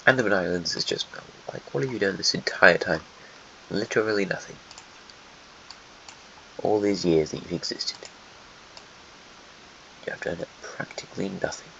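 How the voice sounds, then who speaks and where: A man's voice: low voice, unhurried, gravelly, long pauses, sharp tone. A man with a stuffy, snotty voice giving rambling, sarcastic commentary over a map simulation. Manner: rambling, sarcastic asides to countries. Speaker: host narrating a map simulation. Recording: dull lo-fi mic, faint hiss.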